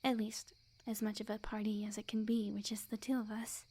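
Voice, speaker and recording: A woman playing a sweet, clingy, lovesick young witch in an ASMR roleplay, speaking softly and breathily in an intimate, coy, earnest way. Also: mid-range voice, flat delivery, a little fast, natural voice. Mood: tender, hopeful. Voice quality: soft, breathy, often near-whisper.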